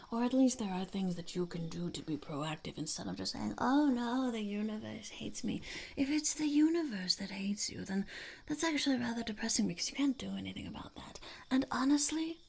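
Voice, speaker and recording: A woman voicing a blunt, mischievous female goblin in a whispered ASMR roleplay, deadpan and cynical, with a creaky, breathy voice close to the mic. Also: mid-range voice. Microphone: close ASMR microphone.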